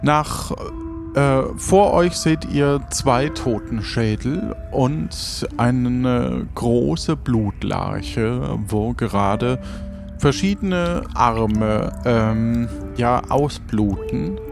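A man narrating an improvised audio adventure as game master, halting as he searches for words. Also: mid-range voice, gravelly, over music.

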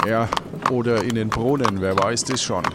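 A man playing a helpful, naive gatekeeper in an improvised pirate audio play, talking in a casual, halting way. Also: lowish voice, natural voice. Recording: faint background noise.

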